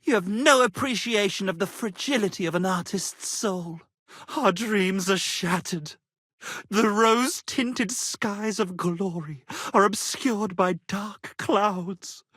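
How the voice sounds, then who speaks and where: A man's voice: sits high, slow pace, a bit bright, dry recording, heavily acted. Pompous wannabe bard from a video game, super dramatic and flowery, takes his time, close dry recording. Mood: despairing. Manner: florid, grandiose, declamatory, swinging between rapture and despair. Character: pompous, flattering, melodramatic aspiring medieval minstrel, self-styled artist. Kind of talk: theatrical video game character acting.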